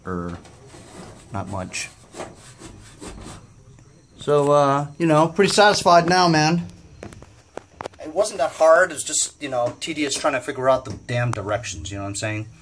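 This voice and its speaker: Casual guy with a slightly higher voice. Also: very animated, unhurried, long pauses, a bit bright, a little hiss or hum. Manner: laid-back, colloquial, peppered with "man" and "you know". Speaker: vlogger reviewing car gadgets. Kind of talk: casual off-the-cuff demonstration and review. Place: inside a van.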